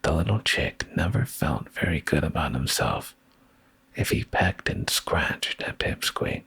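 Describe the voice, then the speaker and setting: A man in an intimate boyfriend ASMR bedtime style, with a deep, gravelly, breathy voice, hushed and soothing, often slipping into whispers close to the microphone. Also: lively intonation, unhurried, no echo. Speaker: ASMR streamer reading bedtime stories.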